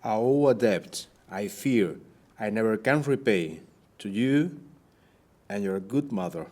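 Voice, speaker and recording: A man playing a wounded, weary, grave Spanish captain on the run in a period audio drama, with formal, measured speech. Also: lowish voice, animated, darkish tone. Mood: solemn, grateful. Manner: formal, measured, archaic phrasing.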